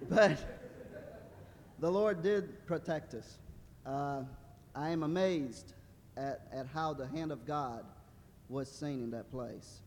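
A man's voice: takes it slowly, pauses often, darkish tone. A man in his thirties, originally from Brazil, giving a hesitant church testimony with many fillers and a wry humor. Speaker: mission trip translator. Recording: reverberant hall with low rumble.

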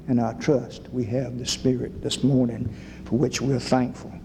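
A man leading a church service, speaking slowly with a low voice and old-fashioned scriptural phrasing. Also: creaky, warm tone, long reverb tail, a little hiss or hum. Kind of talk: slow church sermon and prayer.

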